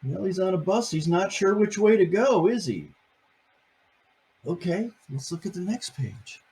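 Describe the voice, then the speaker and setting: Older man, grandfather type, husky and lively, reading a picture book to the grandkids, playful asides. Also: high-pitched, measured pace. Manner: playful, chatty, asks the listeners questions.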